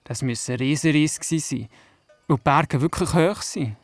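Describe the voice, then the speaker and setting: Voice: medium pitch, normal speed, soft background music, lightly acted. A performer playing a curious, doubting young boy in a Swiss German radio play, asking questions and narrating. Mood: narrating fondly. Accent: Swiss German, Glarus area. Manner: inquisitive, skeptical.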